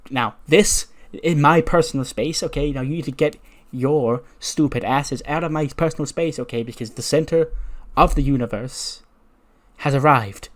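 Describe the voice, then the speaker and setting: A man's voice: a little high, moderate pace, pitched above the performer's own voice, lightly acted. Haughty wrestling diva played by a man, dismissive and condescending, storytelling delivery. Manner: snooty, condescending.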